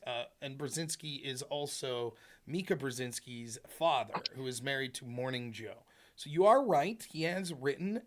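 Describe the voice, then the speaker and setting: A man from rural Pennsylvania hosting a call-in talk show, explaining in a relaxed, rambling way with fillers. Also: mid-range voice. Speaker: show host.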